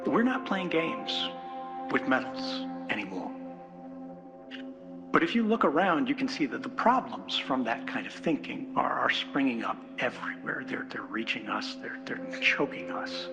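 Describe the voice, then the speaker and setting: A man speaks earnestly to a conference in a medium-pitched voice with wide, expressive swings. He is reflective and builds his points through repetition and rhetorical questions. Music plays clearly underneath. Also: dull audio. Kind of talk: political keynote speech. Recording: music bed behind speech.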